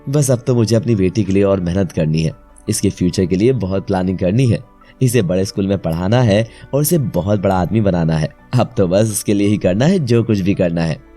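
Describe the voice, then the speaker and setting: A man's voice: low-pitched, fairly quick, mellow, faint music behind. A man voicing a devoted, emotional young husband and father, earnest and pleading, in a dramatised story. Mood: reassuring. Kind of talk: dramatised Hindi moral story acting.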